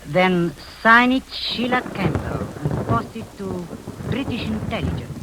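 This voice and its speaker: A honeyed, scheming aunt. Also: female voice, medium pitch, sing-song, measured pace, background noise. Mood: commanding. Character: scheming sinister older woman, falsely sweet. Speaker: Aunt Rita, the villain. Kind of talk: 1940s radio acting.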